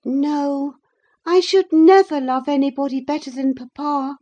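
A woman voicing a spirited, outspoken young lady in an audiobook, pert, emphatic and quick to anger. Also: high voice, animated, mellow, lo-fi sound, pitched above the performer's own voice. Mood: earnest, affectionate. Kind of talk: dramatised audiobook reading of a classic novel.

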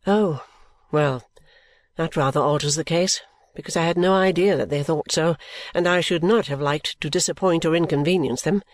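A woman voicing a careless, easygoing and affectionate young gentleman in an audiobook, with a breezy, musing manner. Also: low-pitched, normal speed, no echo, pitched below the performer's own voice, lightly acted. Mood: offhand, indifferent. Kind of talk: audiobook character dialogue.

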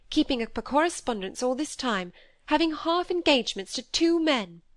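A woman's voice: a little high, no room sound, close to an everyday voice. A woman voicing a nervous, scornful young woman in an audiobook, emphatic and self-pitying. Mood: reproachful. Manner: emphatic, agitated. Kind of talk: acted audiobook dialogue.

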